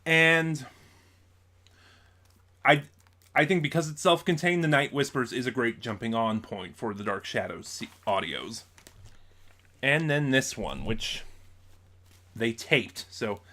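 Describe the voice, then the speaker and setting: A man with a mid-pitched voice talks casually as a vlogger reviewing his purchases. He rambles through explanations and corrects his own wording as he goes. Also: measured pace, pauses often, pushed and bright. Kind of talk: casual unboxing chat.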